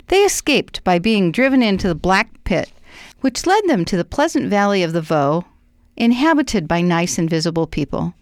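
A woman's voice: lowish voice, big pitch swings, normal speed, no echo, studio quality. A woman narrating a radio drama from a script.

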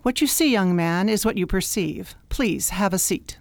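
A woman playing a wise alien mentor who talks like an exacting, gently scolding professor in a radio drama. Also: medium pitch, expressive, normal speed, no echo, natural voice. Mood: serene, brisk. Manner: precise, schoolmistressy, gently scolding. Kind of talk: science fiction radio drama acting.

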